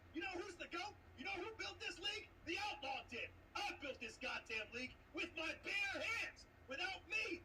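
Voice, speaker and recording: High-pitched, fed-up boastful manager. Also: male voice. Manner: emphatic, repetitive, sometimes shouting. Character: fed-up, boastful sports manager turning on his star player. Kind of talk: wrestling-style promo rant in trivia league show. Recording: noisy, distant from the mic.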